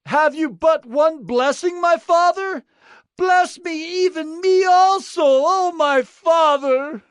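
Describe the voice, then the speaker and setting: A man's voice: very high voice, big pitch swings, unhurried, a bit bright, no room sound, voice pushed up, heavily acted. A man voicing a wronged, bitter adult son with emotional pleading in a dramatised scripture reading. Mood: anguished pleading.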